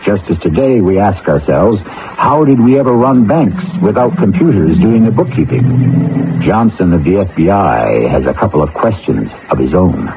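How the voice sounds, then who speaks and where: A man's voice: low-pitched, lively intonation, moderate pace. A man hosting a radio drama, delivering dramatic scripted narration. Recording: muffled old radio broadcast, noisy.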